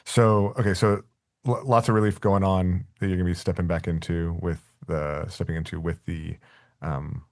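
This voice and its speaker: A male pastor hosts a relaxed church interview in a lowish voice. He often restarts his sentences as he asks his questions. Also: normal speed. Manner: stammering restarts, friendly questions.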